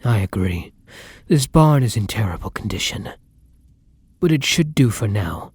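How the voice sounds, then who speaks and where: A man voicing a tender, weary male vampire lover in an intimate ASMR roleplay, speaking formally and gently, often close to a whisper. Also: fairly low pitch, expressive, unhurried, no echo, heavily acted. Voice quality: breathy, husky, often dropping to a whisper. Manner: formal old-fashioned endearments, gentle and dramatic.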